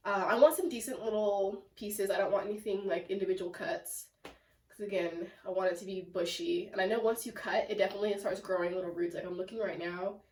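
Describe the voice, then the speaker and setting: A young woman with a sick, congested, raspy and nasal voice, chatting casually in a home plant vlog with lots of fillers. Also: medium pitch, a little mellow. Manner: chatty and self-deprecating, lots of "like" and "um". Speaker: plant vlogger. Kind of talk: casual rambling vlog chat. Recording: echoey room, mic at a distance.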